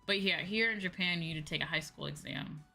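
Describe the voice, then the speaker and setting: A woman streamer chatting casually and answering viewers in an animated, conversational way, often repeating phrases for emphasis. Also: low voice, fast, creaky. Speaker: VTuber streamer; high school teacher. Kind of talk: casual livestream chat, replying to viewers. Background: background music.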